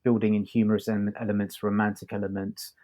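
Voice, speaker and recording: A man co-hosting a podcast, reviewing in a casual, halting conversational way. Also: on the low side, flat delivery, warm tone. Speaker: podcast co-host reviewing audio dramas.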